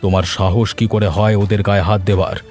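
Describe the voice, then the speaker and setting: A man's voice: fairly low pitch, flat delivery, fairly quick, over music, close to an everyday voice. An actor playing a stern, greedy village headman in a cartoon, commanding and threatening. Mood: stern, accusing. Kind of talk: Bengali cartoon voice acting.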